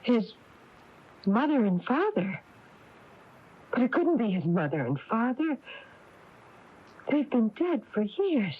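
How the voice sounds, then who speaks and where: An actress playing an anxious, gentle small-town housewife in a radio drama, speaking fretfully. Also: female voice, on the high side, sing-song, slow, long pauses, slightly rough, full character voice. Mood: anxious, curious. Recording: dull taped AM radio broadcast.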